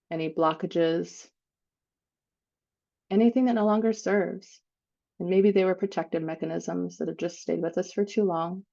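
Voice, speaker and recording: A woman leading a guided spiritual meditation, speaking in unhurried phrases with long pauses between them. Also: fairly low pitch, creaky, a little mellow, muffled recording.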